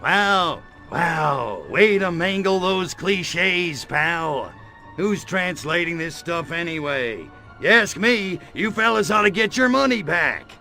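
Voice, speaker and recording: A wisecracking alien invader for a video game. The voice is bright and swings widely in pitch, full of impatient, sarcastic swagger. Music plays underneath. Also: male voice, expressive, takes it slowly, vocal fry, full character voice. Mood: mocking swagger. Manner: sarcastic, swaggering, slangy delivery. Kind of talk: video game cutscene voice acting.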